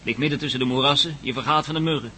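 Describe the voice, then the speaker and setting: A man's voice: medium pitch, racing, sharp tone. A man playing a wary, practical cameraman in a radio drama, talking in a dry, slightly hesitant way. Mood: dryly rueful. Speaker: actor in a radio play. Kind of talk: Dutch radio drama acting. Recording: radio play with faint noise.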